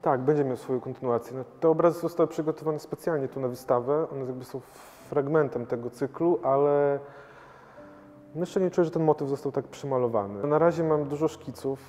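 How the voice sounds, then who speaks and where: A man in his thirties, a painter, answers interview questions thoughtfully, with many pauses, fillers and restarts. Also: medium pitch, mellow. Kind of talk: gallery interview. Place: large gallery hall with paintings. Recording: long hall reverb, faint noise.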